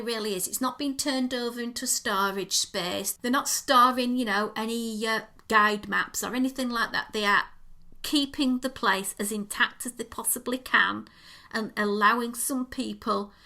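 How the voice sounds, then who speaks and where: A woman co-hosting a casual podcast, chatty and gushing, with a voice going croaky. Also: mid-range voice, sharp tone. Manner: gushing, enthusiastic about details. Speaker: podcast co-host.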